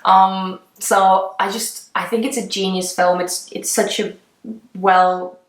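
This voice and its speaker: A woman's voice: mid-range voice, flat delivery, slow pace. A young woman from Estonia, a native Estonian speaker, chatting casually in a vlog where she answers questions about herself, gushing and rambling with fillers and trailing sentences. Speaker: beauty vlogger. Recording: echoey room.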